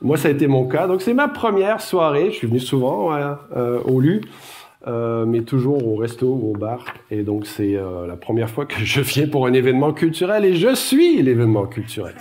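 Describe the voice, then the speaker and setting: Middle-aged québécois guy giving a talk, warm mellow tone, lots of ums, easygoing. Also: medium pitch, big pitch swings, normal speed. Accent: Québécois French. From Quebec, Canada. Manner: chatty, self-deprecating, many fillers. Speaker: guest lecturer. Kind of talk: public lecture.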